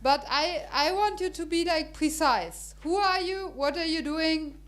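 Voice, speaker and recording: High-voiced chatty woman moderator. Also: unhurried, fairly bright tone. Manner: chatty, hesitant, many fillers and restarts. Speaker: panel moderator. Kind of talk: informal event moderating.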